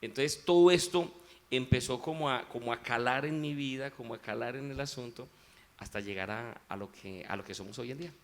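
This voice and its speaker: A man with a Colombian paisa accent, a musician guest giving a reflective, unhurried faith testimony in an interview. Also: medium pitch.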